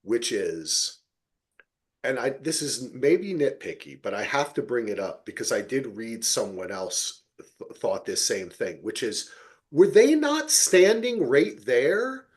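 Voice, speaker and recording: Male podcast host, mid-pitched, highly animated. Emphatic, sweary, conversational, prone to acting out reactions. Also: takes it slowly. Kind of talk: casual TV recap chat.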